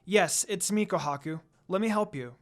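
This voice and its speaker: A reader as young woman, kind, brave, gentle reassuring delivery, storybook fantasy heroine. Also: mid-range voice, normal speed, lightly acted.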